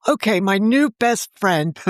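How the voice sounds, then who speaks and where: A woman in her sixties, a novelist guest on a remote interview, talking in a chatty, animated way and often jumping in over the host. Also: mid-range voice, measured pace, no room sound, clean studio sound. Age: 60s. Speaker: novelist and podcast creator, guest. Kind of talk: casual interview chat.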